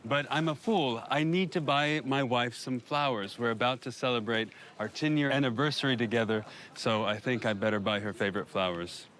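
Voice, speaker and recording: A man's voice: mid-range voice, brisk, a bit bright. An American man in his thirties, telling of his life abroad in an easygoing, enthusiastic way with small restarts. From United States. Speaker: documentary subject, immigrant. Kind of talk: documentary voice-over and casual chat. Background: music.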